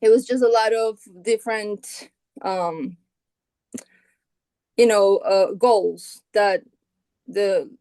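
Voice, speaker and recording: Relaxed Colombian-born woman, dry close sound. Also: medium pitch, slow, long pauses, dry recording. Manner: relaxed, thinks aloud with many fillers and restarts. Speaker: guitarist and founding band member, guest. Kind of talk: casual interview conversation.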